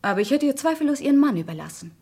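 High-voiced, self-possessed cabaret dancer. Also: female voice, very animated, very fast, warm tone. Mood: cool, challenging. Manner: candid, pointed, composed. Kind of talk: German radio crime drama acting.

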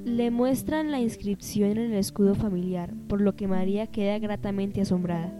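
A woman's voice: medium pitch, normal speed. Woman narrating over music. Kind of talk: scripted narration.

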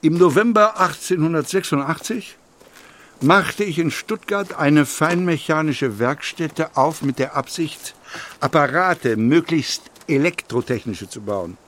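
Measured industrialist recalling early days, higher-voiced. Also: male voice, a bit bright, slight background noise. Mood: measured, matter-of-fact. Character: industrialist founder recalling his early workshop years, measured. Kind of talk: first-person memoir reading in audio documentary.

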